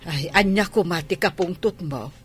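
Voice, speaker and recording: A woman's voice: on the low side, normal speed, faint music behind, natural voice. An actress with an Ilocano accent playing a tolerant village mother who argues firmly and protectively in a radio drama. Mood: protective, exasperated. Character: tolerant village mother defending her child. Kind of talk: Filipino radio drama acting.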